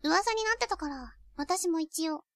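A woman playing a small, blunt, aloof second-year high school girl with a curt, bossy way of talking, in a youth voice drama. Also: animated, a little slow, fairly bright tone, dry recording, studio quality, heavily acted. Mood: cool. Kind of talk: Japanese youth romantic comedy voice drama.

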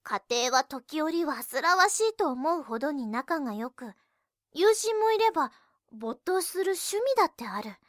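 A woman playing an earnest, reserved high school girl who narrates her own story in a youth voice drama. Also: sing-song, unhurried, a bit bright, no room sound, studio quality, exaggerated voice. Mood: reflective. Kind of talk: scripted narration in an anime-style voice drama.

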